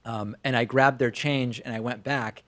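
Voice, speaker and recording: American male talk show host, medium pitch. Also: fairly even intonation, moderate pace, fairly bright tone. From Argentina, moved to the United States at five. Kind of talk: conversational storytelling and commentary.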